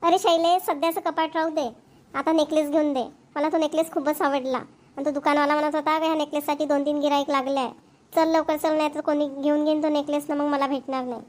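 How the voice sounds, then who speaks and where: A woman's voice: high-pitched, flat delivery, a little fast, a little mellow, close to an everyday voice. A woman voicing an eager young wife in a cartoon, coaxing and pleading with her husband. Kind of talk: cartoon voice acting.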